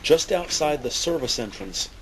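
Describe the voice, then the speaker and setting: A man narrating a scripted audio drama in a measured, dramatic manner. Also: on the high side, animated, noisy background.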